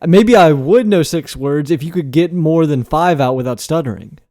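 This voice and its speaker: A man co-hosting a podcast in casual banter, giving deadpan, mock-grumpy comebacks. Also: fairly high pitch, moderate pace, no room sound, clean studio sound. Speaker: podcast co-host.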